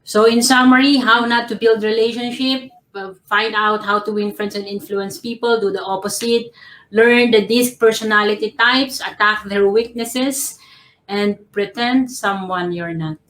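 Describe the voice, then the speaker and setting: Slow, measured woman coach from the Philippines. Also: mid-range voice, steady tone, fairly bright tone, reverberant, a little hiss or hum, poor audio. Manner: slow, measured, ironic with small laughs. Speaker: professional coach, panel guest. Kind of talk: panel talk giving tips.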